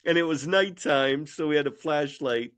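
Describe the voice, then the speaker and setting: A middle-aged man chatting casually and jokingly, telling things in a playful, animated way. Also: fairly high pitch, normal speed, no echo.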